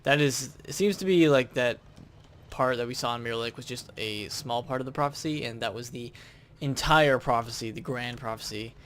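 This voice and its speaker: Male gaming host, mid-pitched, casual thinking-aloud commentary, faint noise with keyboard clatter. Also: normal speed, fairly bright tone.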